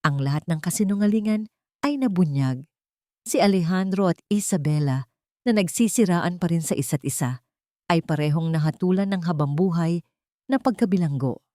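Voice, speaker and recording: Woman, story narrator, lowish pitch, dry recording, dramatic scripted delivery. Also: animated, normal speed, dark tone, no echo.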